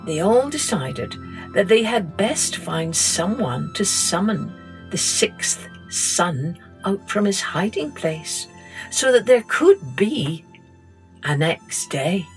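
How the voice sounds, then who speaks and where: A middle-aged woman, reading a children's story gently and expressively as a podcast host. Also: mid-range voice, faint music behind, poor audio. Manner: gentle, slow and expressive read-aloud.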